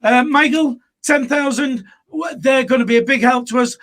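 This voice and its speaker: Fan tv host guy, blunt, lots of uhs, fairly high voice. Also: normal speed, fairly bright tone. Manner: blunt, heavy on fillers. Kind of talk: live fan show hosting.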